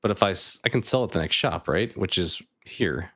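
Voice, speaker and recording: Guy streaming a deckbuilder, kinda low voice, a bit raspy, just thinking out loud. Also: animated, normal speed. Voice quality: slightly raspy. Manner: thinking aloud, chatty, answers chat. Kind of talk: casual live gameplay chat.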